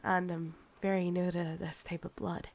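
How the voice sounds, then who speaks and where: A Scottish woman voicing an ancient, insatiable female vampire in an ASMR roleplay, coaxing and sighing, breathy and often dropping to a whisper. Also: on the low side, little pitch movement, moderate pace, a little mellow, a little hiss or hum. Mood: soothing, weary.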